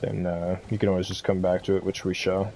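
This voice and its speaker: A man giving casual gameplay commentary as a streamer, laid-back and halting, often repeating himself. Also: low-pitched, little pitch movement, mellow, slight background noise. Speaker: game streamer giving a walkthrough.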